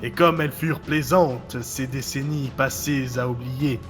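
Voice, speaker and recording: An actor playing a man's mocking, cryptic and menacing alter ego in a French audio drama, theatrical and deliberate. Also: medium pitch, steady tone, fairly bright tone, faint music behind, close to an everyday voice. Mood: accusing, menacing. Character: mocking, cryptic, menacing shadow self of a man.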